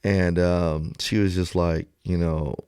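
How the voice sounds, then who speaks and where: Male podcast host, low-pitched, dry close sound, slangy and conversational storyteller. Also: slow, smooth, no echo. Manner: slangy, colloquial, animated when retelling exchanges. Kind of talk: casual podcast banter and storytelling.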